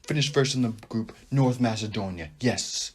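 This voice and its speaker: A young man hosting a sports podcast, talking casually and rambling with animated asides as he thinks out loud. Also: mid-range voice, normal speed, slightly rough. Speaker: podcast host. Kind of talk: casual sports talk monologue.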